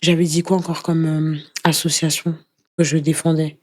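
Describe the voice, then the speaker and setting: A woman giving personal testimony as an interview guest in casual conversation, earnest and halting, often repeating words as she searches for the next. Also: deep voice, level tone. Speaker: interview guest, anti-racism activist.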